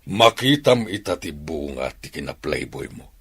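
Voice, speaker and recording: An actor playing a stern older father, a rancher, who lectures his son gravely in a radio drama. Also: rumbling low, very animated, normal speed, exaggerated voice.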